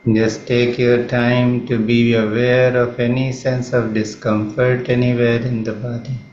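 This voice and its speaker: A man with an Indian accent leads a guided meditation in a calm, measured manner, leaving long pauses between phrases. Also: medium pitch. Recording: roomy, slightly muffled, faint background noise.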